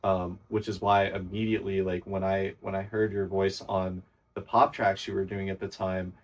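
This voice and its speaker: A man's voice: low voice, little pitch movement, moderate pace. A man chatting casually on a podcast about music production, enthusiastic and complimentary, rambling a little. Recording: voice a bit distant from the mic.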